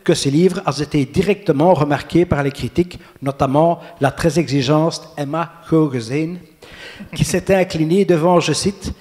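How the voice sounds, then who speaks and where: A man's voice: on the high side, a little mellow, lightly acted. A man voicing a pompous male literary presenter who announces books in a grandiose tone.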